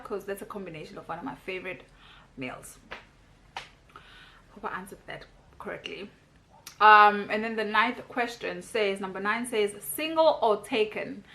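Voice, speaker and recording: A woman's voice: mid-range voice, pushed and bright, a little hiss or hum. A South African woman in her thirties, chatting casually as she reads out questions and answers them in a vlog. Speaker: YouTuber. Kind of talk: casual get-to-know-me vlog.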